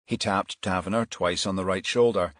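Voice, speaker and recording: A man's voice: deep voice, fairly even intonation, moderate pace, a bit bright, no room sound, clean studio sound. A man reading a crime thriller audiobook as scripted narration.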